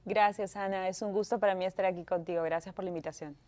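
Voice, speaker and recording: A woman's voice: medium pitch, steady tone, fast talker, a little mellow. Chatty Peruvian woman lawyer. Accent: Peruvian Spanish. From Peru. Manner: chatty, mixes in English words. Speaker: guest lawyer. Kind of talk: interview answers.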